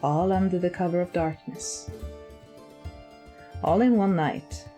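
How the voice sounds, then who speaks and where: A woman with a slightly low voice narrates a piece of fiction. Her reading is scripted and expressive, and a plucked guitar plays behind it. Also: takes it slowly. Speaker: story reader.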